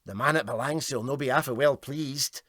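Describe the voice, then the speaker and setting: A man's voice: mid-range voice, moderate pace, fairly bright tone, no echo, studio quality, close to an everyday voice. A man voicing a sly, teasing Scottish deckhand in a comic story, full of mock reproach. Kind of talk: comic storybook character reading.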